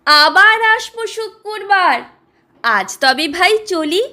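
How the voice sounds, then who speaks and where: An eager young village boy, played by a woman reciting verse, coaxes children to buy his dreams. The voice is very high and bright, earnest and unhurried in a dramatic poem style. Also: lively intonation, heavily acted. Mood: coaxing, friendly. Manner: coaxing, earnest, street-seller address.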